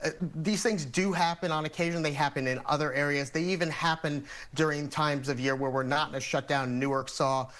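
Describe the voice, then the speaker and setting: Higher-pitched American man, expert guest. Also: fairly even intonation, a bit bright. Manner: measured, methodical explaining. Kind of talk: explanatory TV news interview.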